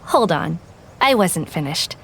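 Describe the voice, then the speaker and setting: A woman's voice: on the low side, sing-song, husky, full character voice. A woman playing a shrewd, money-minded detective in a video game, with a knowing, businesslike manner. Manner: brisk, knowing, transactional.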